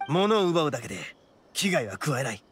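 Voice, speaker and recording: A man playing a cocky young male oni gang leader in an anime video game, talking roughly and boastfully. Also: a little high, sing-song, fairly quick, fairly bright tone, exaggerated voice. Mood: gruff, serious. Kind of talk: Japanese anime game voice acting. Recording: clear background music and game sounds.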